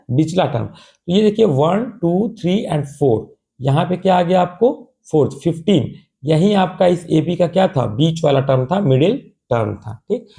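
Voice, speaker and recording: A male tutor, hoarse from a cold, explains a math problem step by step. He coaxes his students along, asks rhetorical questions and checks in often. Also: a little high, mellow. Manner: calls students "babu" in a patient, coaxing tone.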